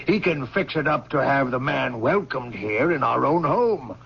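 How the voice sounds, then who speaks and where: A man's voice: medium pitch, animated, unhurried, creaky, husky, exaggerated voice. A man playing an ambitious, impatient Union general with a blustery, officious manner, in old-time radio drama style. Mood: pleased, scheming. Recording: dull old radio recording, faint noise.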